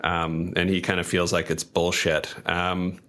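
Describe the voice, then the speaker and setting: A man's voice: low voice, completely flat delivery, a little slow, a bit bright. A man telling improvised story as a tabletop game player, often repeating and restarting his phrases.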